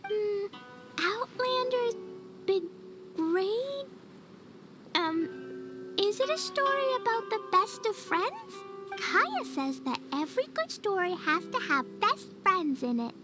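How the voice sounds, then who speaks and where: Tiny, very high-voiced innocent little girl. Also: big pitch swings, slow pace, over music, heavily acted. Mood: curious. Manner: childlike, earnest, wide-eyed. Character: innocent, excitable, sweet little girl adventurer. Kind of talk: animated video game voice acting.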